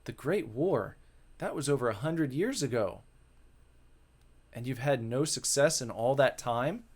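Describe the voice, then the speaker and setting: A man's voice: mid-range voice, animated, a little slow, a little mellow. A man voicing a composed, matter-of-fact young woman who is caring and determined, in a dramatic visual novel reading. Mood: curious. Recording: music behind the voice.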